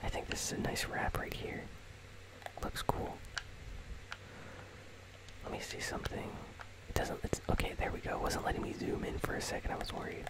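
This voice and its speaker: A host whispering casual, conversational ASMR commentary on a video game in a breathy, unvoiced voice, close to the microphone. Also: normal speed, long pauses, poor audio. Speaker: host of a gaming news channel.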